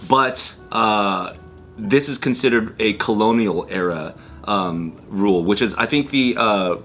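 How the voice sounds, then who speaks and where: A man's voice: fairly low pitch, unhurried, fairly bright tone, faint music behind. A man hosting a casual panel chat, rambling loosely with fillers and restarts. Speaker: host.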